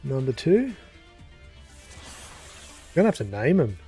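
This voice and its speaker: Slow, mellow-voiced gaming commentator. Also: male voice, medium pitch, very animated, faint music behind. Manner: thinking aloud, unhurried, with fillers. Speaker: gaming YouTuber. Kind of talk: casual let's-play commentary.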